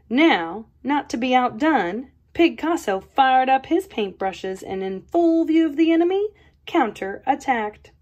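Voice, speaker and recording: A woman reads a children's picture book aloud. Her fairly high voice swoops and rises with every line, and she takes her time with the words. The reading is expressive and playful, in the manner of an art teacher sharing a story with a class.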